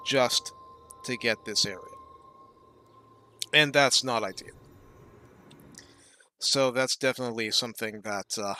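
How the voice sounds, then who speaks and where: Bright-voiced man explaining game strategy. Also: medium pitch, slight background noise. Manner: thoughtful, explanatory, with frequent fillers. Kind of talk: casual gameplay commentary.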